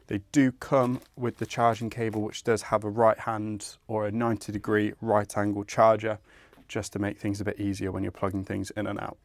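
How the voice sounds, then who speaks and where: A man's voice: fairly low pitch, little pitch movement, moderate pace, no room sound. A man presenting an installation tutorial, explaining each step in a methodical, informal way. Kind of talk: instructional step-by-step tutorial narration.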